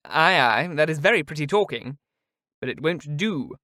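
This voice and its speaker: A man's voice: on the high side, big pitch swings, normal speed, sharp tone, no echo, studio quality, pitched above the performer's own voice. A man reading aloud voices a jolly, teasing older woman who loves gossip. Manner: teasing, hearty.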